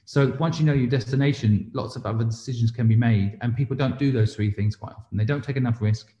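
A man with a medium-pitched voice talks as an interview guest, laying out advice in a conversational way and checking in with small questions. The sound is echoey and somewhat muffled. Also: fast talker, warm tone. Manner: explanatory, uses rhetorical questions and tag questions. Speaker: interview guest, entrepreneur and investor. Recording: roomy echo, slightly dull sound.

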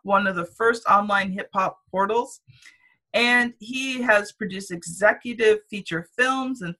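Slow-spoken bright podcast host woman. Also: medium pitch, rough recording. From Canada. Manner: unhurried, enthusiastic introductions. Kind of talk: interview hosting.

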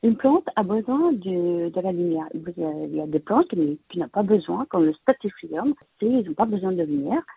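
A woman's voice: lowish voice, expressive, moderate pace, gravelly, few pauses, slightly rough, dry recording. A woman of Vietnamese heritage living in France, giving plant-care advice as an invited expert, speaking hesitantly with many fillers and restarts. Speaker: interior plant decorator, guest expert. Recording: dry, phone-like narrow sound.

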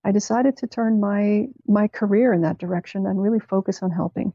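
A woman speaking as an interview guest, reflective and measured as she tells her own story. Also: mid-range voice, creaky, warm tone, breathy edge, no room sound, highs cut off. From Canada. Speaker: therapist and writer, interview guest. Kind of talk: conversational interview, telling personal story.

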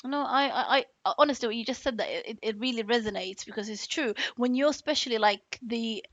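A woman's voice: a little high, fairly quick, no echo. British woman hosting an interview. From United Kingdom. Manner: engaged, affirming, sums up the guest's points. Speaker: host. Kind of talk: casual conversational interview. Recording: dull lo-fi, dry sound.

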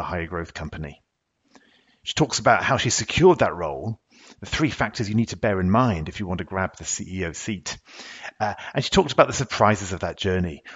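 A man's voice: fairly low pitch, husky, muffled recording. A man hosting a podcast for executives, introducing his guest and interviewing her in an earnest way with frequent fillers. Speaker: podcast host and executive coach.

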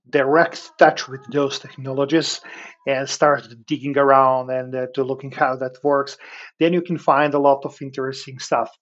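A man with a Serbian accent talks conversationally, like a guest being interviewed. His pitch sits in the middle for a man. He moves in halting phrases, often pausing on filler sounds, and the audio is slightly dull and lo-fi. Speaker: podcast guest, cloud engineer.

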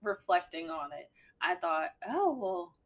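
A young adult woman with a slightly deep voice, a guest talking candidly with a dry sense of humor. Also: big pitch swings, measured pace. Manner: candid, dry humor, mimics a bright work voice. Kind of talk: casual chat.

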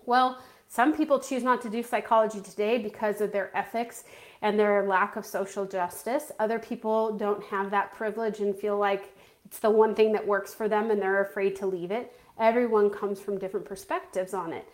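A woman's voice: medium pitch, steady tone, normal speed. Woman coach giving conversational, emphatic advice. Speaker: business coach for private practice therapists. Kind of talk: instructional vlog talk to viewers.

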